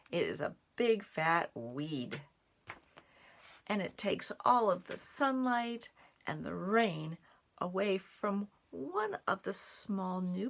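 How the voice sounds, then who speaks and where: A woman reading a children's picture book aloud in an expressive, deliberate way for young listeners, with little asides. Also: fairly low pitch, creaky. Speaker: storybook reader and sign language teacher.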